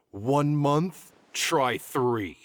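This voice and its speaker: An actor playing a stern old scholar in a video game, berating with sardonic, elaborate phrasing. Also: male voice, mid-range voice, expressive, drawn-out words, slight background noise. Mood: exasperated. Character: stern, scolding old scholar, theatrical and sarcastic.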